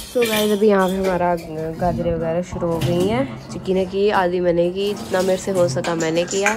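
A woman's voice: on the low side, fairly even intonation, moderate pace. A young woman with a sore throat and a cold, chatting casually to her viewers in a home vlog filmed on a phone. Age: young adult. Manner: chatty, addresses viewers as guys. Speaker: vlogger. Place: home, indoors.

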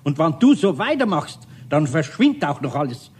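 A man's voice: a little high, sing-song, normal speed, husky. An actor playing a good-natured, grumbling middle-aged master craftsman with a Bavarian accent in a radio play. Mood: exasperated. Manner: hesitant, grumbling. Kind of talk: 1970s German radio play.

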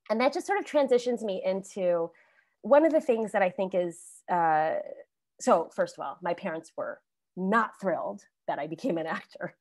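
A woman on a video call panel telling stories about her acting career in a chatty, animated way, laughing often and restarting sentences. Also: mid-range voice, dry recording, clean studio sound. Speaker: alumni panelist, actor.

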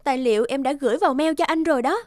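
A woman's voice: sits high, rapid-fire, darkish tone, close to an everyday voice. An actress playing a poised, attentive young female corporate secretary in a cartoon drama, polite and efficient. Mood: composed. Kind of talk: animated drama dubbing.

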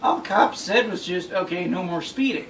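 A man's voice: sits high, lively intonation, normal speed, a bit bright. Emphatic young man, roomy distant recording. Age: young adult. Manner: exasperated, emphatic, repetitive. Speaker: storyteller. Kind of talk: casual storytelling among friends. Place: small bedroom, indoors. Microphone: phone camera across the room. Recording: roomy, noisy recording, distant phone mic.

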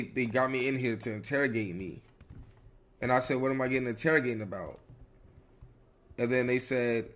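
A man streaming a roleplay game, talking casually and evasively with slang and swearing. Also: medium pitch.

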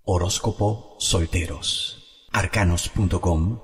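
A man reading a promotional voice-over in a steady, instructive announcing manner. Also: deep voice, slow pace. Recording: voice with long reverb.

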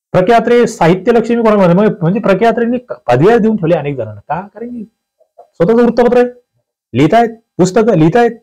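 A man's voice: sits high, darkish tone, low-quality recording. A man with a Maharashtrian accent teaching an exam question walkthrough, instructing students directly and repeating key phrases.

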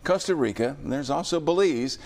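Relaxed older male news anchor. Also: on the high side, a little fast. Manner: relaxed, bantering with the reporter. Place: TV news studio.

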